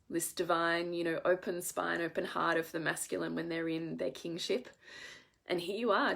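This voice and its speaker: A congested woman with a head cold talks earnestly to her audience, her voice sitting a little low for a woman. She presses her points with emphasis and the odd swear word, the easy live-stream talk of a coach. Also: gravelly. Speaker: women's coach.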